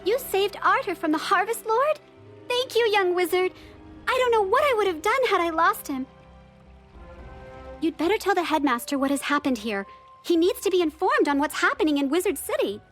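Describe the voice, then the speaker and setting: A woman's voice: very high voice, big pitch swings, moderate pace, a bit bright, soft background music, full character voice. A woman playing an earnest, emotive young student witch in a video game, with a girlish voice. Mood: grateful. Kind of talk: video game character acting.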